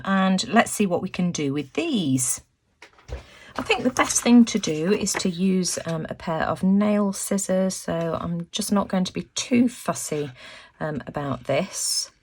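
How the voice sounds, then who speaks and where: A woman with a croaky voice, narrating a casual craft tutorial as host, chatting and thinking aloud. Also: fairly low pitch.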